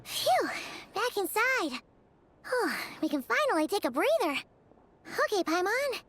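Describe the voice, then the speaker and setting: A woman voicing a tiny, excitable, childlike fairy companion in a video game, perky and a little whiny. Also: very high voice, unhurried, full character voice. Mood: relieved. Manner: perky, whiny, speaks of herself in third person.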